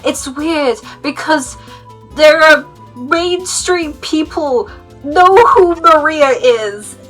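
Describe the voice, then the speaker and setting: A woman's voice: sits high, very slow, fairly bright tone, soft background music. A woman co-hosting a casual opinion chat, speaking informally with somewhat hesitant phrasing. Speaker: co-host.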